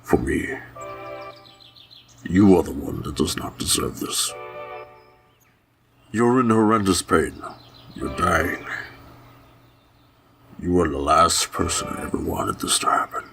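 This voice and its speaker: A man playing a gentle goat-monster king in a dramatic reading, fatherly and grieving, pleading with trembling emotion. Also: low voice, slow, creaky, long pauses, faint music behind, exaggerated voice. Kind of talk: dramatic fan-fiction reading.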